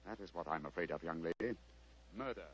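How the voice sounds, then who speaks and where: An actor playing a composed, grave, shrewd gentleman detective with precise, formal speech in an old radio drama. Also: deep voice, a little fast, husky. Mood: grave, concerned. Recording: muffled vintage radio, hum and hiss.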